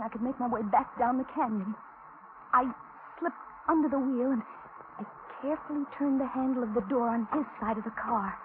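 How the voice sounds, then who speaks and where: A frightened young waitress played by an actress in a 1940s radio suspense drama. Her voice is high, anxious and halting. It comes through a tinny, noisy vintage recording. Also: natural voice. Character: frightened young waitress held hostage, pleading and telling her ordeal.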